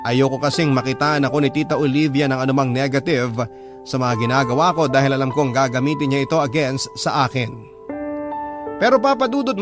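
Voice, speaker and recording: A man's voice: mid-range voice, lightly acted. A man with a Filipino accent playing a sincere boyfriend, narrating his love story confidingly in a scripted radio drama. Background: music under the voice.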